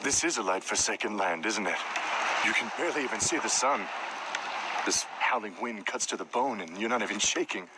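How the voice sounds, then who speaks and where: An actor playing a loyal, concerned and uneasy army captain in a dramatic video game cinematic. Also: male voice, fairly low pitch, expressive, fairly quick, very noisy, close to an everyday voice.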